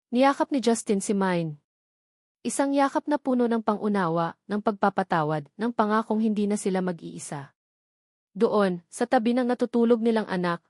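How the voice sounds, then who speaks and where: A woman storyteller reads a romance drama in a measured, emotive way. Her voice sits at a mid pitch, and the recording is dry and close. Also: darkish tone, dry recording. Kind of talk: scripted dramatic narration.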